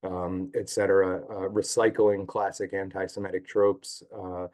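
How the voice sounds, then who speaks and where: A hoarse man giving a talk over a video call, halting with many fillers. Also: low voice, level tone, darkish tone. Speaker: guest speaker, advocacy group staff chief. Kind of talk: online talk.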